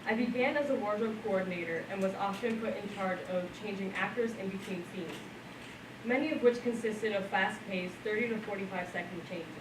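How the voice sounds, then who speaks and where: A woman's voice: medium pitch, brisk. A woman in her late teens giving a composed, articulate prepared speech as a student speaker. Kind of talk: prepared speech at a school event. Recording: echoey room, voice from far off, noisy.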